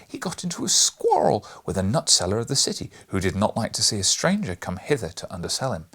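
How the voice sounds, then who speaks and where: A man voicing an excitable, eccentric puppet maker from a storybook, exclamatory and theatrical. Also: medium pitch, gravelly, breathy edge, exaggerated voice.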